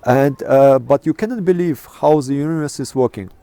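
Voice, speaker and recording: A middle-aged man with a Russian accent and a dark, mellow tone, speaking English as a second language. He speaks haltingly, with many "uh"s and restarts, as he answers an interviewer outdoors in a national park. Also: mid-range voice, creaky. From Kazakhstan. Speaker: interviewee, astrophotographer. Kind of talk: casual interview talk.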